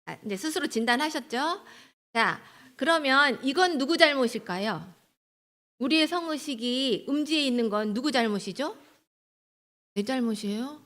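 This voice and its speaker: A woman's voice: high-pitched, lively intonation, normal speed, a bit bright. A woman lecturing at a church training, teaching and exhorting, posing questions to her listeners and answering them herself, often checking for agreement. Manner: asks the audience rhetorical questions, then answers them herself; seeks agreement with short tag questions. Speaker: lecturer at a church sex-values training for leaders.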